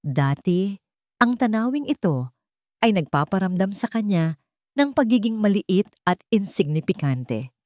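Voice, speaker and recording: A woman narrating a drama story in a measured, expressive storytelling manner. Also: medium pitch, no room sound, clean studio sound.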